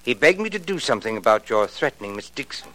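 A pompous, patronizing business manager. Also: male voice, mid-range voice, sing-song, fast talker, fairly bright tone, rough recording. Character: pompous older male business manager, smooth and condescending. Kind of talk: 1940s radio acting. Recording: old radio broadcast with faint hiss.

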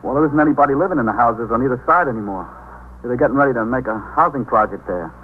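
An actor playing an anxious young American navy sailor, polite but urgent and stammering, in 1950s radio drama style. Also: male voice, mid-range voice, racing, natural voice. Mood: worried. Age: young adult. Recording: muffled, noisy 1950s radio recording.

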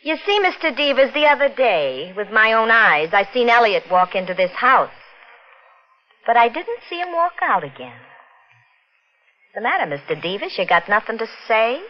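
Sly blackmailing widow, muffled old radio. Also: female voice, on the high side, big pitch swings, moderate pace. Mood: accusing, sardonic. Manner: sardonic, streetwise, insinuating, uneducated grammar. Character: brazen, sly blackmailing widow, coarse and shrewd. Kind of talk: 1940s radio mystery acting.

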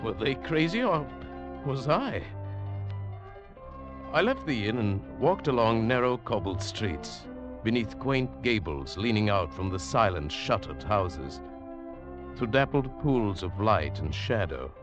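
Haunted male narrator, swinging dramatically in pitch. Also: very animated, slow. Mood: bewildered, agitated. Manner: dramatic, hushed swelling to agitated. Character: uneasy male English traveller narrating an eerie tale. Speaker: lead actor and narrator. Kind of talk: 1940s radio drama acting, first-person scripted narration. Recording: old radio broadcast with music.

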